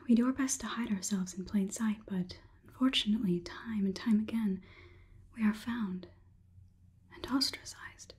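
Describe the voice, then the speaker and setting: A young woman in her twenties playing a politely condescending female robot overlord in a slow, measured, breathy ASMR whisper, close to the microphone. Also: medium pitch, darkish tone. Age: 20s. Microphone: close sensitive microphone.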